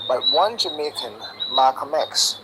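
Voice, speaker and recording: A man's voice: a little high, expressive, unhurried. A man of sixty-three with a Barbadian accent, speaking earnestly and emphatically as a caller to a live discussion. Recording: very noisy call with clicks.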